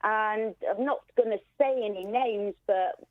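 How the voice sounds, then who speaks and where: A woman's voice: medium pitch, a little slow, no room sound. A woman calling into a radio phone-in, talking in a chatty way with many restarts. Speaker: caller. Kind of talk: casual phone chat. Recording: tinny phone line.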